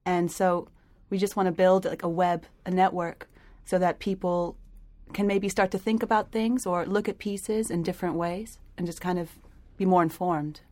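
A woman's voice: lowish voice, fairly even intonation, moderate pace, mellow. A young woman answering interview questions about an art project in a loose, hedging way. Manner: loose, hedging talk with many "kind of" and "like".

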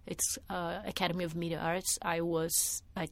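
A woman's voice: on the low side, level tone, normal speed, vocal fry. A middle-aged woman from Brazil, a media artist answering interview questions in a thoughtful way, with many restarts and fillers. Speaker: media artist and former art teacher.

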